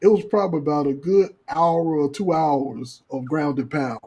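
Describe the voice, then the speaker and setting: A man with a husky voice telling a casual podcast story in slangy, profane talk, acting out the dialogue. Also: on the high side, moderate pace, warm tone. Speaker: podcast co-host.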